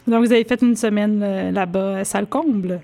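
A woman hosts a radio interview. Her pitch sits in the middle range. She speaks conversationally, feeling her way through questions with a halting delivery. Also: steady tone, creaky, mellow.

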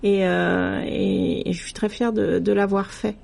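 A middle-aged woman from France, a radio guest talking in a relaxed, thoughtful way with many hesitations and restarts. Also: mid-range voice, measured pace, gravelly. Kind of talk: casual conversational interview.

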